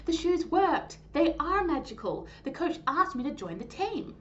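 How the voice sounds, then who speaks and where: A woman voicing an eager, earnest young schoolboy in a children's storybook reading, in an excitable childlike manner. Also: very high-pitched, a little slow, pitched above the performer's own voice, full character voice. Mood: excited. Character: eager young schoolboy who loves basketball. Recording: dull lo-fi sound, faint noise.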